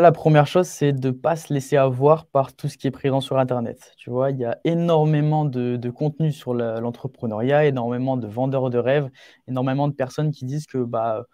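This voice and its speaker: A man's voice: mid-range voice, dark tone, no room sound, clean studio sound. An eighteen-year-old man giving advice as a podcast guest in an earnest, informal, emphatic way, often repeating key phrases. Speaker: young entrepreneur, podcast guest. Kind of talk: conversational interview answers, giving advice.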